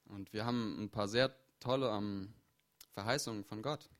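A man's voice: on the low side, steady tone, moderate pace. Male interpreter relaying a sermon. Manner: renders each phrase right after the preacher, with small fillers and self-corrections. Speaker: church interpreter.

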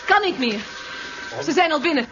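A woman's voice: high-pitched, lively intonation, moderate pace, loud music behind the voice. An actress playing an earnest, anxious woman in the resistance, in a 1970s radio drama. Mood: panicked. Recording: muffled, hissy 1970s radio recording.

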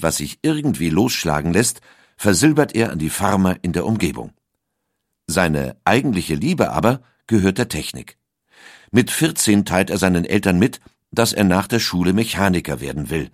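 A man narrates a documentary in a calm, measured way. His voice sits low. The recording is dry, with no room sound. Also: no echo.

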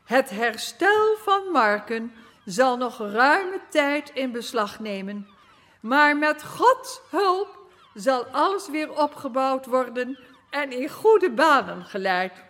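An actress playing a solemn queen giving a formal, measured address to flood victims in a radio drama. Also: high-pitched, very animated, a bit bright, full character voice. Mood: solemn, consoling.

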